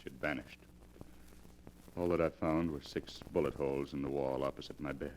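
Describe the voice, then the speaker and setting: A haunted young bridegroom with a low, deep voice. He confesses his fears with intense drama, in the style of a 1940s radio thriller. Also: steady tone, moderate pace, darkish tone, slight background noise, low-quality recording. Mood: haunted, desperate. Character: haunted, fearful young bridegroom recounting his torment to a doctor. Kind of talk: 1940s radio melodrama acting.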